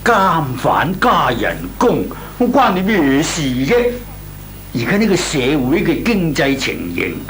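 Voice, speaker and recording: A man playing a patient older uncle in an old Hong Kong Cantonese radio comedy, explaining and chiding in a deliberate way. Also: fairly high pitch, very animated, a bit bright, full character voice. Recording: old radio recording with hiss and hum.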